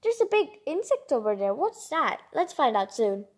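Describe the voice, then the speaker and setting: A woman's voice: high voice, big pitch swings, normal speed. A woman reading a children's picture book aloud, expressively and informally, with chatty asides and exclamations. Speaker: read-aloud narrator.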